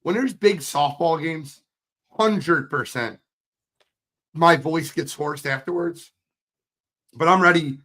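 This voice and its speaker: A man with a hoarse voice co-hosting a sports podcast, talking in a casual, teasing, fired-up way. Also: fairly high pitch, slow, long pauses, sharp tone. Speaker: podcast co-host.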